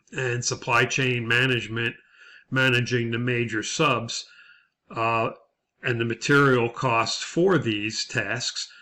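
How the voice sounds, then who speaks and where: Bright-voiced male instructor, lowish pitch. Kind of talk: lecture narration over slides, semi-scripted explanation.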